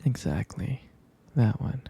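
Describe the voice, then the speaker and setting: A man playing a tender, jealous, protective boyfriend in an intimate ASMR roleplay, murmuring hesitantly in a husky, gravelly whisper close to the microphone. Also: mid-range voice, expressive, slow, warm tone, exaggerated voice. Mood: tender, nostalgic.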